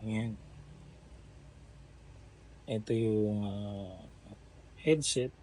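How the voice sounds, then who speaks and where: A man with a Filipino accent casually unboxing a gadget in a vlog, relaxed and chatty with lots of fillers. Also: on the low side, drawn-out words, a little hiss or hum, low-quality recording. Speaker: vlogger.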